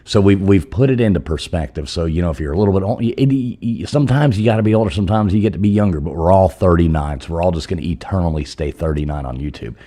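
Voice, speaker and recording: Deep husky man, lively joking delivery. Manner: joking, rambling, halting restarts. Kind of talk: casual chat.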